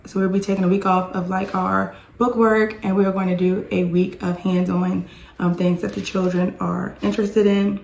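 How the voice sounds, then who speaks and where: Chatty hoarse woman, echoey home. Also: medium pitch, darkish tone, a little hiss or hum. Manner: chatty, rambling with restarts. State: hoarse, losing her voice. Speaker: homeschooling mom vlogger. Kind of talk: casual chatty vlog. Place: home with dishwasher and dryer running.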